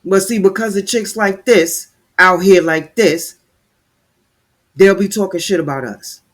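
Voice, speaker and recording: A man's voice: high-pitched, unhurried. A man giving heated, sarcastic commentary while reading news aloud, stumbling over words as he reads.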